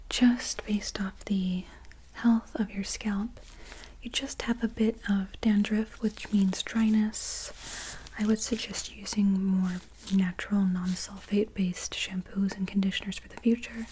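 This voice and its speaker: A woman speaking in a very soft, breathy whisper, gently and soothingly reassuring as in an ASMR personal-attention roleplay. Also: mid-range voice, steady tone, unhurried, slight background noise, rough recording. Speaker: ASMR creator playing a barber.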